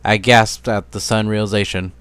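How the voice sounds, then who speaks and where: A man with a fairly low, smooth voice reads a fantasy story aloud as an amateur narrator. It is a scripted dramatic reading, recorded dry with no room sound. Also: little pitch movement, fairly quick, a bit bright, dry recording.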